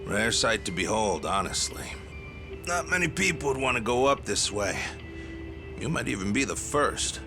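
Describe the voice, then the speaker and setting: A man's voice: mid-range voice, animated, normal speed, over music, natural voice. A man playing a chatty, folksy, laid-back taxi driver in a video game, rambling along informally. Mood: chatty, easygoing. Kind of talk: English video game cutscene acting.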